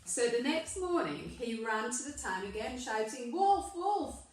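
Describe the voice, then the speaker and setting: A woman reading a children's story aloud with emphatic, deliberate delivery, addressing questions to young listeners. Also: fairly high pitch, expressive. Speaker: primary school storyteller. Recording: very echoey room, distant microphone.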